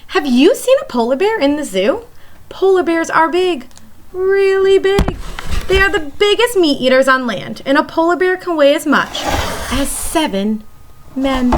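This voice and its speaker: A woman's voice: very high voice, animated, measured pace, background noise. A woman teacher reading a nonfiction children's book aloud, talking straight to young listeners and explaining things simply. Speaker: elementary school teacher.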